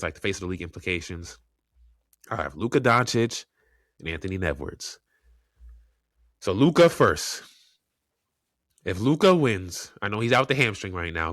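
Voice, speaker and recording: A man's voice: deep voice, bright, forceful tone, dry recording, studio quality. A man hosting a solo sports podcast, congested and under the weather, giving opinionated takes and thinking aloud with frequent restarts. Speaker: podcast host.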